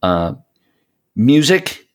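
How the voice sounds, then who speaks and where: A 57-year-old man chatting casually as a podcast guest, speaking in a halting way with rambling asides. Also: medium pitch, sing-song, darkish tone. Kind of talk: casual interview chat.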